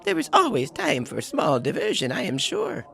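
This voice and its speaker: A woman playing Befana, an old peasant woman who is fussy and busy, speaking with a creaky, gravelly voice and scoffing exclamations. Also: wildly swooping pitch, normal speed, fairly bright tone, full character voice. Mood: dismissive. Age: older. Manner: exclaims "Bah!", swoops in pitch. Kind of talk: audio drama acting.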